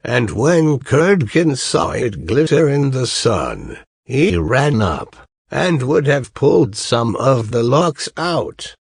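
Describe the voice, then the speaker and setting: Very slow male storyteller, gravelly and dry. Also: medium pitch, big pitch swings, no room sound. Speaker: storyteller reading a fairy tale. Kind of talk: slow scripted audiobook narration of a fairy tale.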